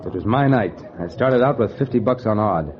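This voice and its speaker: Male actor as cocky noir gambler, low pitch, clipped slangy delivery, vintage radio drama, muffled sound. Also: animated, normal speed, noisy background, natural voice. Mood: wry, tense. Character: hard-boiled, cocky male gambler, broke and money-hungry. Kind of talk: 1940s radio noir acting, first-person narration. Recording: dull vintage radio recording with music. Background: music.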